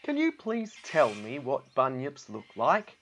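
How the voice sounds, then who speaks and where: A man voicing an innocent, wistful storybook bunyip who speaks slowly and earnestly. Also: mid-range voice, wildly swooping pitch, fairly bright tone, full character voice. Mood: earnest, questioning. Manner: slow, earnest questioning.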